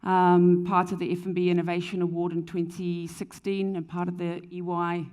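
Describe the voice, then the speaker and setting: A woman from New Zealand speaks as a panelist at a business conference, her accent softened by years abroad. She talks fluently in a low, flat voice, leaning on "um" between points. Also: moderate pace, smooth. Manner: fluent, frequent "um" fillers. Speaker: panelist, company founder. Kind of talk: panel discussion answer. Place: conference stage before an audience.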